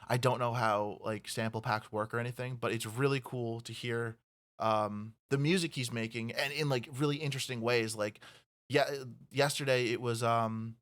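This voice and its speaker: A man's voice: mid-range voice, fairly bright tone. A man co-hosting a casual podcast chat, rambling with lots of swearing and laughter. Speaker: podcast co-host.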